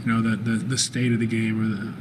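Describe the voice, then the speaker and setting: A man in his forties, a basketball head coach answering postgame press questions in a calm, thoughtful way, often pausing with fillers and restarting phrases. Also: on the low side, noisy background. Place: press room with sponsor backdrop, indoors. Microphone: desk microphone at arm's length.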